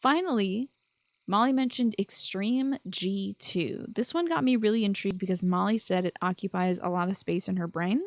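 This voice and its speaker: A woman's voice: mid-range voice, moderate pace, dry recording. A woman with a mid-range voice hosts a show about video games. She is informal and enthusiastic, moving between prepared commentary and chatty asides. The sound is close and very dry, with hardly any room in it. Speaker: podcast host. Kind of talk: chatty video game podcast commentary, partly scripted.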